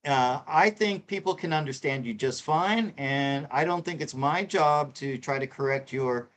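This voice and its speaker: Halting middle-aged man, full of fillers. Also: fairly high pitch, fairly bright tone. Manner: halting, repeats words, many fillers. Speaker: co-host reading tarot cards. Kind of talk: casual live-stream chat.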